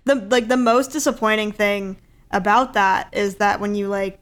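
A woman's voice: medium pitch, steady tone, normal speed. A woman co-hosts a loose podcast conversation. She is blunt and sarcastic and swears easily as she talks. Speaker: podcast co-host. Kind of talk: casual chat.

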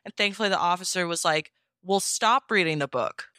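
Bright, clear-voiced woman gushing casually. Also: lowish voice, fairly quick, no room sound, clean studio sound. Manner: gushing, self-mocking, heavy use of "like". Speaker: interview guest, fan fiction audio reader. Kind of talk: casual animated storytelling.

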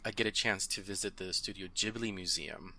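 A man's voice: sits low, a little fast, noisy background. A man in his thirties whose first language is Spanish, chatting as a podcast guest in a hesitant way, full of fillers and easy laughter. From Southern California. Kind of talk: casual interview chat.

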